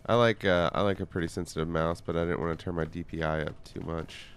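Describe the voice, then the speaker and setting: A man's voice: low-pitched, steady tone, fast, a little hiss or hum. A man giving loose, jokey commentary while streaming a game, sounding nasal from a cold. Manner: loose, rambling, jokey asides. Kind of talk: casual gameplay commentary.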